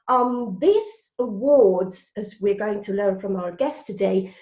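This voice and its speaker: A woman's voice: mid-range voice, expressive, slow pace, a little mellow. A woman hosting an online interview show in an enthusiastic, effusive way over a video call. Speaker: host. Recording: roomy, dull video call audio.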